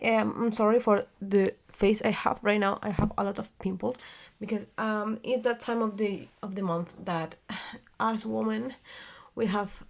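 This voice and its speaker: A young woman in her twenties speaks with a Spanish accent, slowly and haltingly, stopping often to find her words. Her voice carries a gravelly fry at mid pitch. She talks casually, like someone chatting to her camera. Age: 20s. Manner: hesitant, many fillers and restarts, searching for words. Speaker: vlogger and theater actress. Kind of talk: casual talking-to-camera vlog.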